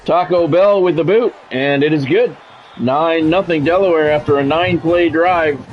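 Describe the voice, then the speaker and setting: A man streaming a football video game, calling it live in clipped, practiced announcer phrases mixed with casual, offhand remarks. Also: on the high side. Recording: dull lo-fi sound, faint background noise.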